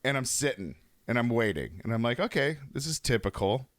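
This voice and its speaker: A man's voice: medium pitch, very animated, fairly quick, no room sound, studio quality. A young man co-hosting a podcast, telling a rambling story in casual chat, laughing and swearing as he goes. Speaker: podcast co-host.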